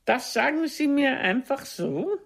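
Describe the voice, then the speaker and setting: An actress playing a proud ageing stage diva in a German radio play, reproaching a former lover in a husky, theatrical, cutting way. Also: female voice, high voice, sing-song, a little slow, exaggerated voice. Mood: accusing. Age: older. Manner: theatrical, cutting, formal address.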